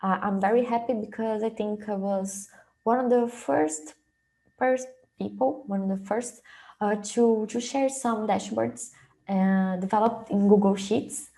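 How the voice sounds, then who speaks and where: A young adult woman from Brazil, a native Portuguese speaker with a Brazilian accent, presenting an informal online webinar with hesitant, halting phrasing. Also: medium pitch, vocal fry, mellow. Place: small indoor room, video call.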